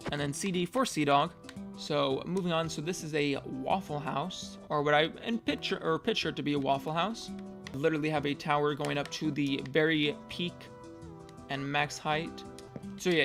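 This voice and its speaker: A young man casually touring his game world, rambling and correcting himself, his voice getting hoarse. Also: on the high side. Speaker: gamer giving a world tour.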